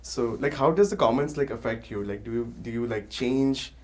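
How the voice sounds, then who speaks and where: A man's voice: mid-range voice, moderate pace, a little mellow. A man speaking casually on a panel. His voice is mid-range, and he keeps checking in with listeners as he talks, in a loose conversational way. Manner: says "like" and "right?" very often.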